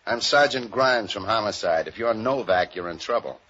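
An actor playing a gruff, sceptical homicide sergeant, terse and hard-boiled, in 1940s radio crime drama style. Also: male voice, fairly low pitch, expressive, moderate pace, fairly bright tone. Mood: tough, dry. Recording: muffled old 1940s radio recording.

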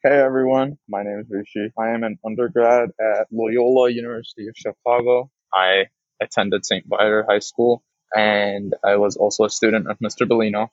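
A man's voice: lowish voice, steady tone, normal speed, no echo. A young man from Dubai, a college freshman, sharing his views in an earnest, thoughtful way with slight hesitations. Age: young adult. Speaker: guest, college student. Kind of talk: recorded guest statement. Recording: dry, dull lo-fi recording.

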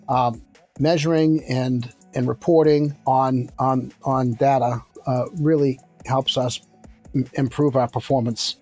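A man in his sixties speaks at a mid pitch, answering in a measured, reflective way and often pausing to restart his thoughts. Faint music plays underneath his voice. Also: a little mellow. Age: 60s. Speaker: construction company founder. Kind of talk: conversational interview answers.

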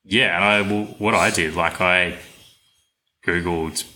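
A man's voice: deep voice, slow pace. A man co-hosting a podcast, chatting casually with many fillers and hesitations. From Australia. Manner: relaxed, hesitant with fillers, laughs often. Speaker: podcast co-host. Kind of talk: casual chat.

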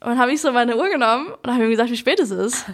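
A woman in her twenties co-hosting a casual chat, telling stories in a relaxed, playful way. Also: fairly high pitch, fast. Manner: relaxed storytelling, playful, laughs along. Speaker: podcast co-host.

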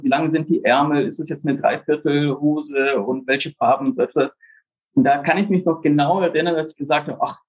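A man in his forties with a slightly high, husky voice talks as a guest in a podcast interview. He is relaxed and chatty, fills his sentences with small fillers, and drops in dry self-mocking humor. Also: rough recording. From grew up in Brazil. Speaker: startup founder, podcast guest. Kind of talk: casual interview talk.

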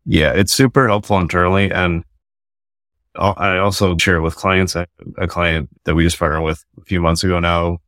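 A man's voice: sits low, fairly even intonation, normal speed, fairly bright tone, dry recording, clean studio sound. A man, a guest author and company founder, explaining his ideas earnestly in a casual podcast conversation and often restarting his sentences. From United States.

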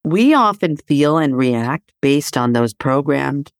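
Solo podcast host raised in Greece, reflective and needling, middle pitch, dry close sound. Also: animated, slow, no room sound. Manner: provocative, poses rhetorical questions; conversational with frequent "you know, right?".

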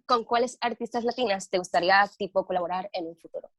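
A woman's voice: medium pitch, quick pace, fairly bright tone, no echo. A woman from the Republic, shyly greeting and questioning as a fan. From Dominican Republic.

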